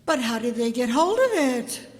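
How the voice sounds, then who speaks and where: A very high female voice swoops through a reverberant hall. It is a woman acting a fragile, startled wife in an old radio mystery, drawing out her words in melodramatic shock. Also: big pitch swings. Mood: bewildered, shocked. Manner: breathless shock, drawn-out melodramatic delivery. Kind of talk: old-time radio detective drama.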